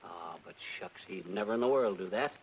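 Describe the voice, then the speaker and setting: An actor playing a folksy, gullible middle-aged husband who wisecracks in a 1940s film comedy. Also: male voice, on the low side, brisk, lightly acted. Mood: folksy, earnest. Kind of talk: 1940s radio-style film comedy acting. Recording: muffled, hissy 1940s film soundtrack.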